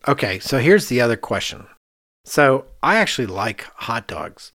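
A man hosting a podcast in casual chat, with an animated, joking way of talking. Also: mid-range voice, normal speed, dry recording, clean studio sound. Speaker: podcast host.

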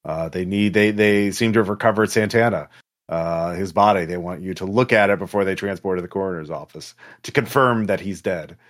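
Male game master, lowish register, conversational and halting, correcting himself as he narrates a tabletop session. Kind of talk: running a tabletop role-playing game, conversational.

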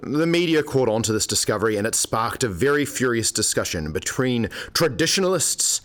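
A man's voice: mid-range voice, very animated, a little fast, a bit bright. An actor playing a formal, dryly witty male academic who explains things precisely in a radio play. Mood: explaining.

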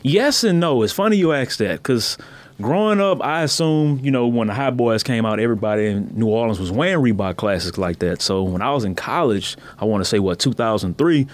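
A man's voice: medium pitch, animated, brisk. A man from Louisiana, telling personal stories in a casual, slangy way as a podcast guest. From Baton Rouge, Louisiana, USA. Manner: slangy, conversational. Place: podcast studio.

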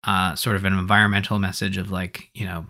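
A man's voice: sits low, little pitch movement, quick pace, bright, forceful tone. A man hosting a podcast, discussing films in a casual, conversational and hedging way. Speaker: podcast host.

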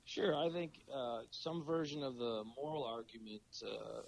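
A middle-aged man with a cold and a raspy voice, talking as a podcast guest in a halting way with many fillers. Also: medium pitch, low-quality recording. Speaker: podcast guest, philosophy professor. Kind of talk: casual interview talk.

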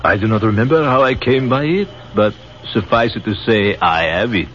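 An actor playing a gracious, wry French artillery captain with a French accent, speaking in a courtly, philosophical way, in a 1940s radio drama. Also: male voice, lowish voice, normal speed, a bit bright, close to an everyday voice. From France. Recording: dull old radio broadcast recording with faint hiss.

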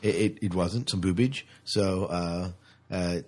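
A man's voice: sits low, unhurried, darkish tone. A man hosting a podcast, chatting casually and a little hesitantly as he reviews films. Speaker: podcast host. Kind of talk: casual film review chat.

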